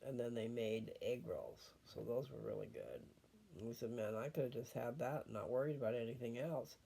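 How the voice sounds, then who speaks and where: An older man chatting casually and reading scripture at home, unhurried and wandering as he thinks aloud. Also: medium pitch, lively intonation, gravelly, dark tone.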